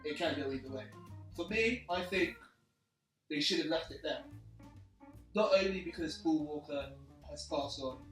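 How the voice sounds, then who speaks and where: A man in a casual group film discussion, thinking aloud in halting, repeated phrases. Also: a little high, fairly bright tone. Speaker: film review panelist. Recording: echoey room, distant mic, music behind.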